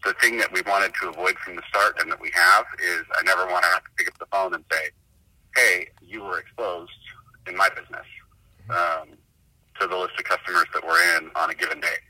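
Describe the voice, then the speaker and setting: A man's voice: low voice, fairly even intonation, fairly quick, pushed and bright, no echo, poor audio. A man speaking off the cuff as a store owner being interviewed. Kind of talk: spontaneous interview answer.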